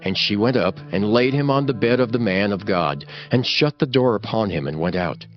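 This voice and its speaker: A man's voice: on the low side, animated, normal speed, muffled recording, faint music behind. A man narrating a scripture audiobook in a formal, solemn storytelling manner.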